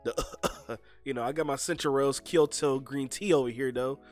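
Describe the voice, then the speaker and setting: A man's voice: medium pitch, steady tone, moderate pace, darkish tone, faint music behind. A young man hosting a casual podcast chat with a stuffy, sniffly nose, rambling laid-back and circling back to rephrase himself. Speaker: podcast host.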